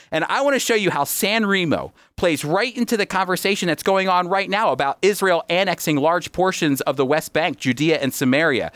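A man's voice: fairly high pitch, a bit bright, no echo. A man hosting a teaching program, explaining in a conversational, emphatic way and speaking directly to the listener. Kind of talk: conversational teaching talk with read-out quotations.

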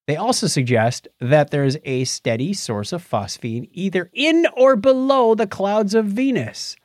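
Animated man hosting a podcast, higher-pitched voice. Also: a little slow, no room sound, studio quality. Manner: playful, informal. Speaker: podcast co-host. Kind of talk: casual podcast chat with read-out news.

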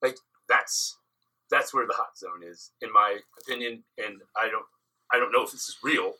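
A male podcast host holds forth with animated stories and confident opinions. He has a bright voice at a middling pitch for a man. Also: normal speed, rough recording. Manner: animated, storytelling, lecturing tone. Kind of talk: casual chat.

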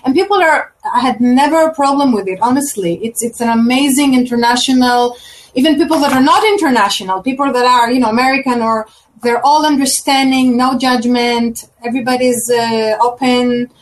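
High-voiced woman. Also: fairly quick, low-quality recording. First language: Greek. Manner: hesitant, searching for words, warm laughs. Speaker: guest. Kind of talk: casual chat on a video call.

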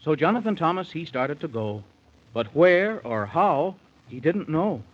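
Male storyteller for vintage children's radio, lively swooping delivery, highish pitch, folksy rhymes. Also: unhurried, breathy edge. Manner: theatrical, folksy, sing-song rhymes. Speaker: storyteller narrator. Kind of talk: rhyming 1930s radio bedtime storytelling. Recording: dull 1930s radio recording.

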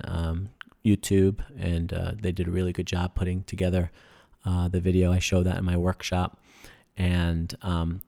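Low gravelly guy on a podcast, keen, explains a lot, lots of ums. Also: darkish tone. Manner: enthusiastic, explanatory, many fillers. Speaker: podcast guest, breathing workshop instructor. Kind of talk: casual podcast conversation.

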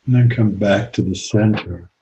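A man leading a guided meditation as a teacher, speaking calmly and gently with long pauses. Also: on the low side, highs cut off, slight background noise.

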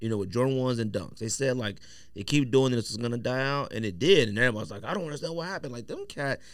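Middle-aged American man, lively swooping intonation, dry close sound, slangy, opinionated podcast host. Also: mid-range voice, fast, dry recording. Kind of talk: casual chat about sneakers. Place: podcast studio.

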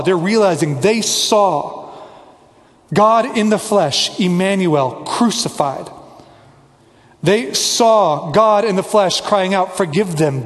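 A pastor delivering a sermon, earnest and conversational, now and then slipping in a playful aside. His voice sits a little high for a man, and a long reverb trails each phrase through the church hall.

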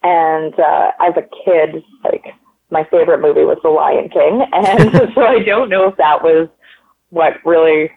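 A woman's voice: deep voice, a little slow, breathy edge. A woman, a guest chatting casually in an interview, rambling with lots of "like" and "um" in an upbeat way. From Canada. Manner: rambling, many likes and ums, upbeat. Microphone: phone line. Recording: tinny phone line.

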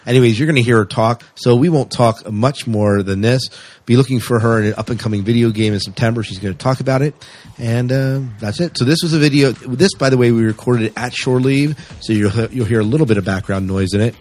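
A man's voice: mid-range voice, quick pace, darkish tone. A man hosting a podcast and interviewing in a casual, chatty style.

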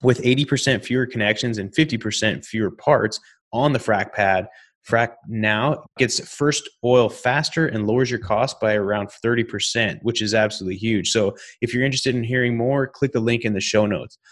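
Low-voiced podcast host, relaxed. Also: male voice, normal speed. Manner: relaxed, says "man" and "you know" a lot. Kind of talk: casual interview chat with read ad.

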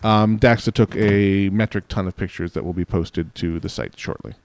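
Male co-host, low pitch, dry recording, loose joking chatter. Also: fairly even intonation, moderate pace, darkish tone, no room sound. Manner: joking, rambling with fillers. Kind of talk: casual group chat on a web show.

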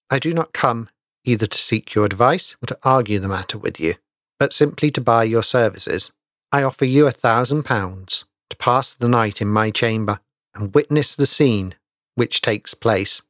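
A man voicing a doomed, pale stranger in a ghost story, speaking low, hushed and hollow. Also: no echo, clean studio sound.

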